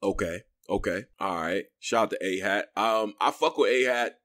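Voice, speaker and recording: A man's voice: on the low side, normal speed, a bit bright, no echo, studio quality. A man hosting an interview, asking long, rambling questions in a loose, slangy way. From born in Houston, raised in Rochester, New York. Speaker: interview host. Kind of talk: casual interview chat.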